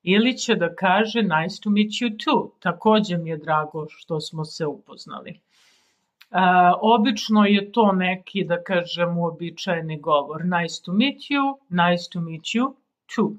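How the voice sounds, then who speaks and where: A woman in her forties from Serbia with a Serbian accent, a native Serbian speaker giving an informal language lesson in a halting, deliberate way. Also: fairly low pitch, lively intonation. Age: 40s. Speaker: language teacher. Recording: dull lo-fi sound.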